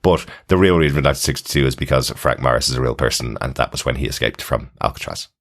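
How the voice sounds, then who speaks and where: A man's voice: very deep voice, fast. A man hosting a podcast, chatting casually as he recaps a TV episode in a conversational way. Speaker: podcast host.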